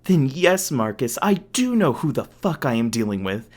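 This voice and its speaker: A man's voice: mid-range voice, very animated, normal speed, darkish tone. A man playing a smug, sadistic corporate villain in an audio roleplay, taunting with sarcastic, mock-polite manners. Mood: smug, gloating. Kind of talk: ASMR audio roleplay acting.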